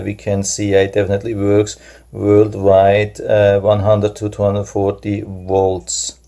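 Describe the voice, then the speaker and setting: Low gravelly man, German native speaker. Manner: hesitant, many uh fillers, relaxed and explanatory. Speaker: product reviewer. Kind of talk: casual unboxing review. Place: indoors at a table.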